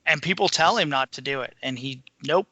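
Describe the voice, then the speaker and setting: A man's voice: medium pitch, a little fast, sharp tone, dry recording, lo-fi sound. A man co-hosting a podcast, discussing books in a colloquial, opinionated way. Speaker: podcast co-host.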